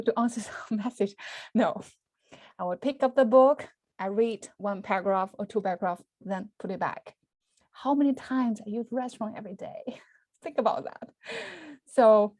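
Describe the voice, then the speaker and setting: A woman leads an online lesson in English. Her voice is dark and mellow, recorded dry and close. She speaks in simple sentences, often restarting phrases and adding small laughs. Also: medium pitch, no room sound. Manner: frequent restarts and soft laughs; speaks English as second language, simple grammar. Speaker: Mandarin teacher. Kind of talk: online class talk.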